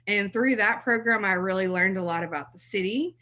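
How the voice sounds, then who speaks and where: A chatty woman in her thirties, originally from South Mississippi, chats as a podcast guest about her career. Her voice is bright and forward, and she laughs easily between thoughts. Her pitch sits in a middle range for a woman. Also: brisk, a little hiss or hum. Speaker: blog writer, guest. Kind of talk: podcast interview.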